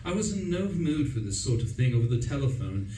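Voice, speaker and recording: A man acting a flustered, breezy young upper-class English gentleman who narrates a radio play in pompous, chatty old-fashioned slang. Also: mid-range voice, big pitch swings, a little fast, natural voice. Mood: indignant, flustered. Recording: roomy, distant stage recording with faint noise.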